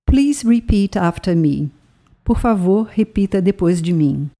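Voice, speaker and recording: A woman's voice: medium pitch, lively intonation, slow pace, mellow. A woman narrating a scripted bilingual language lesson, speaking carefully and spelling out words. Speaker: language teacher.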